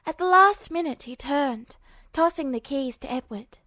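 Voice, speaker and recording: A woman's voice: high-pitched, lively intonation, normal speed, sharp tone, no echo, studio quality. A woman narrating a story from a script as an audiobook-style reader. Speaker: podfic narrator.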